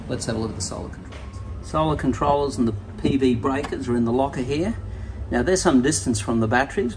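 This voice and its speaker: Gravelly man explaining solar gear. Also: medium pitch, noisy background. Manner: informal, explanatory, many fillers and self-corrections. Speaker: solar installer demonstrating a boat system. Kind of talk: casual technical walkthrough. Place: on a sailing catamaran, outdoors and in lockers, later voice-over on screen recording.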